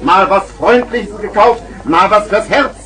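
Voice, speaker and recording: A man's voice: sits high, unhurried. A man playing a boisterous street hawker with theatrical, wheedling patter in a vintage children's radio play. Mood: hawking loudly. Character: boisterous male street hawker selling garden gnomes. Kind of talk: 1970s German children's radio play acting.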